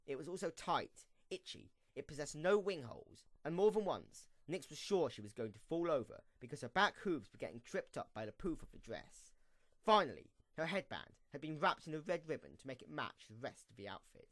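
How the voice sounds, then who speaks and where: A man's voice: fairly high pitch, big pitch swings, fairly quick, a bit bright, no echo. A man narrating a scripted story for an amateur radio play, reading in a storybook style.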